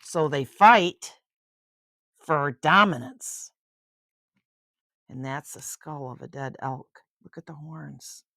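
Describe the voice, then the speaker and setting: An older woman reading a children's picture book aloud in an expressive, unhurried way, with chatty asides of wonder. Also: low-pitched, vocal fry, no echo, clean studio sound.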